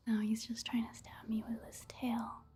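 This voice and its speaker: A woman giving soft-spoken ASMR game commentary in a hushed, breathy whisper, in a gentle, casual, unhurried manner. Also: a little high, monotone. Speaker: gaming ASMR video host.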